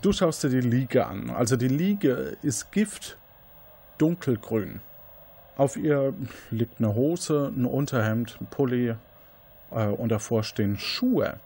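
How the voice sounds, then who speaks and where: A man's voice: mid-range voice, lively intonation, slow pace, creaky, warm tone, slightly rough. A man, improvising scene descriptions as game master of an interactive mystery game, often correcting himself.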